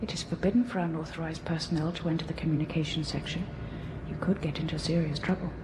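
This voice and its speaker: A woman's voice: deep voice, steady tone, fast talker, noisy background, close to an everyday voice. An actress playing a cool, manipulative female computer persona in a science fiction radio drama, speaking in formal, precise sentences. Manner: matter-of-fact, formal, precise wording.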